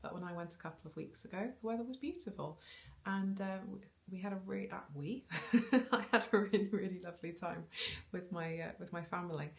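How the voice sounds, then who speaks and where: A woman in her thirties talks casually into a camera in her living room, her voice low and touched with vocal fry. She rambles along with ums and restarts, breaking into small laughs. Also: fairly quick. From Wales. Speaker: knitting vlogger. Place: living room with bookshelves, indoors. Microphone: camera on a tripod facing her.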